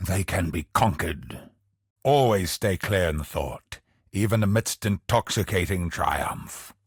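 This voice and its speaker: An actor voicing a brooding, grandiose godlike villain in a video game, husky and gravelly, with an ominous, deliberate delivery. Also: male voice, low voice, lively intonation, no echo, clean studio sound, exaggerated voice. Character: godlike male cosmic villain, brooding, grandiose, obsessed with perfection. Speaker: video game boss character.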